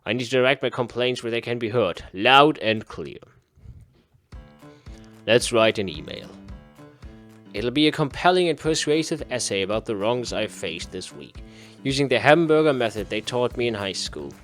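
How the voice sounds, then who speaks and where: A man's voice: mid-range voice, lively intonation, normal speed, fairly bright tone, soft background music. A man giving a casual playthrough commentary, reading game text aloud and muttering to himself in repeated phrases. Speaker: gaming video host.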